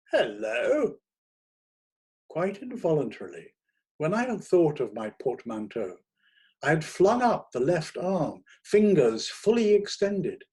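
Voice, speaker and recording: A man narrating a first-person short story in a theatrical, expressive manner, savouring his words. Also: medium pitch, breathy edge. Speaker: audiobook narrator.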